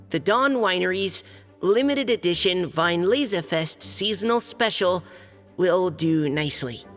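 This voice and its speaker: A man's voice: high-pitched, a bit bright, exaggerated voice. An actor playing an earnest young male knight who reads a formal letter aloud with care and halts when flustered. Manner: careful, formal reading, halting when flustered. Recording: game cutscene with reverb and music.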